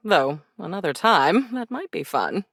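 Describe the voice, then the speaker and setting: A sardonic, sinister female embodiment of old memories from a video game. Her voice sits low and swings expressively through languid, arch phrases. She is theatrical and mock-sweet with an edge of scorn, recorded very dry. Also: very animated, unhurried, vocal fry, a bit bright, breathy edge, no room sound, full character voice. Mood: resolute, dry. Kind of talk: scripted video game character voice acting.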